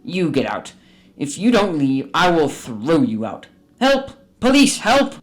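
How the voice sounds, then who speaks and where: A man acts out a crotchety old male miser for a radio play. His voice runs a bit high and swings widely as he blusters, suspicious and excitable. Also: slow pace, heavily acted. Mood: indignant. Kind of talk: theatrical radio drama acting.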